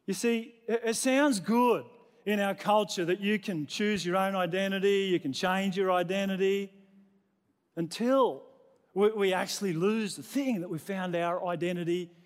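Man, Australian accent, very high-pitched voice, pastor giving a sermon, conversational, repetitive phrasing, big reverberant hall. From New South Wales, Australia. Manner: conversational, self-deprecating, repeats phrases in lists. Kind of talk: sermon to a congregation. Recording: long reverberant hall sound.

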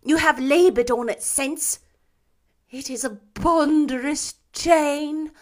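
A woman voicing a mournful male ghost bound in chains, booming and drawn out in a storybook reading. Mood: wailing, mournful. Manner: loud, drawn-out, lamenting delivery.

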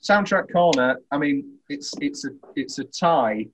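A British man hosting a casual film podcast, talking in a teasing, opinionated, animated way. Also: fairly high pitch, a little slow, a little hiss or hum, poor audio. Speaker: podcast host.

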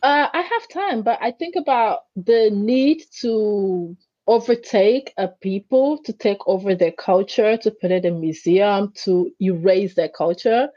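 Greek native-speaker woman telling a story all animated, doing little voices, middle pitch, kinda muffled sound. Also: a little slow, slightly rough, no room sound. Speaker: guest. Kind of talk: conversational interview.

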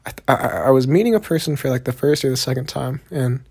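A young Texan man with a Southern accent talks on his own podcast at an average pitch. He rambles in a loose, laid-back way, swearing casually and filling pauses with ums and likes. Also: lively intonation, darkish tone, husky. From Texas, USA. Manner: rambling, laid-back, profane, heavy on fillers. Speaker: podcast host. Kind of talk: solo podcast monologue.

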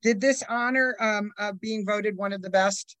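An interviewer asking a guest questions in a curious way, with quick follow-ups. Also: medium pitch, fairly even intonation, fairly bright tone.

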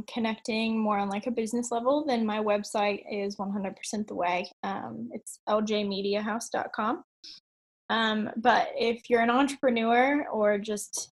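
A woman in her late twenties, a podcast guest talking casually and upbeat, with frequent ums and little laughs. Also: fairly high pitch. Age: 20s. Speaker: podcast guest, online entrepreneur. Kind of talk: casual interview chat.

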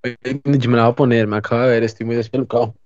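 Colombian male podcast co-host, colloquial and joking, average pitch, dry slightly lo-fi audio. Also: flat delivery, very fast, a little mellow, dry recording. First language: Spanish. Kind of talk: casual chat.

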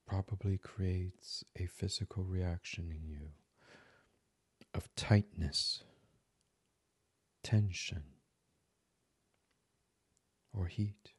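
A man hosting a guided sleep meditation in a soothing, hushed ASMR delivery that slips into a near-whisper. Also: low-pitched, steady tone, slow, pauses often, breathy edge, dry recording. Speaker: meditation podcast host.

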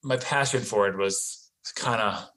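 A man's voice: lowish voice, unhurried, bright, forceful tone. Danish-accented man in his forties. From Denmark. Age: 40s. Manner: conversational, frequent "you know" and "right?". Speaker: podcast guest, real estate investor. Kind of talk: casual interview talk.

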